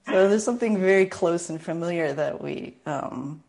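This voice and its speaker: Female guest, American accent, low gravelly register, thoughtful conversational storytelling with frequent pauses. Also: darkish tone. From Northern Virginia, United States. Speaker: guest, martial arts and spiritual teacher. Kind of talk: reflective personal storytelling in conversation.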